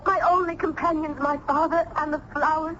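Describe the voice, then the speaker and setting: An actress playing an anguished, lonely young daughter, earnest and pleading in old-time radio drama style. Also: female voice, sits high, steady tone, fairly quick, a bit bright. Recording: dull old radio broadcast, faint noise.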